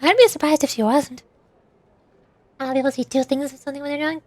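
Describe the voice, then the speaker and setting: High-voiced chatty woman streamer. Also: quick pace, a little mellow, no echo. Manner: chatty, rambling, self-deprecating. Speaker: VTuber streamer. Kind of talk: casual stream chat while voice acting over a game. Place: home. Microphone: USB desktop microphone.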